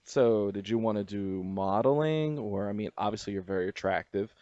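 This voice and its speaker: A man's voice: lowish voice, moderate pace, mellow. A man hosting a radio interview in a casual, jokey, slangy and crude way. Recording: muffled roomy lo-fi radio interview audio.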